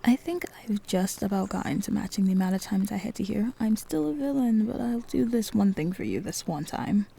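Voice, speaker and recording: Woman playing a husky, very dark-voiced superhero. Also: mid-range voice, animated, a little fast, hardly any pauses, full character voice. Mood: teasing. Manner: dry, bantering. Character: teasing, self-assured woman superhero, former council member. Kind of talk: roleplay audio drama acting, conversational.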